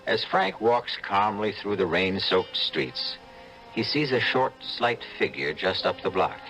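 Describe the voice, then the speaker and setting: Deep-voiced, ominous radio suspense narrator. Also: male voice, very animated, a bit bright, soft background music, heavily acted, poor audio. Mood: ominous, wry. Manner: dramatic, deliberate, wry. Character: ominous, sardonic male storyteller of suspense tales.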